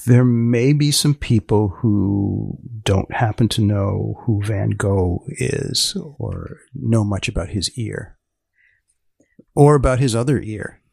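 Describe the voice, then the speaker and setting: A man hosting a podcast interview, asking short questions and prompts in a deliberate, deadpan way, often repeating phrases. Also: lowish voice, vocal fry, husky, no echo, studio quality.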